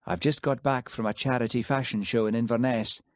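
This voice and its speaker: A guarded young Highland woman, quiet and evasive, her voice lifted well above a man's usual pitch, as a male narrator puts her on. The reading is dry and close, with no room sound. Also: fairly even intonation, fairly quick, no room sound, lightly acted.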